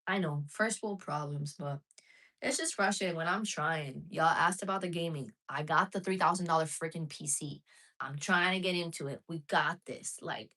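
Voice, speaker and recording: A woman's voice: sits low, normal speed, pushed and bright, low-quality recording. A woman live streaming casually to her viewers, chatting frankly and reading out chat messages. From United States.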